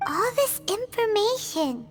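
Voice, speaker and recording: A woman voicing a small, curious, childlike fairy companion in a video game, with a squeaky voice. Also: sing-song, slow pace, full character voice. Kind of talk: game voice acting. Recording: music behind the voice.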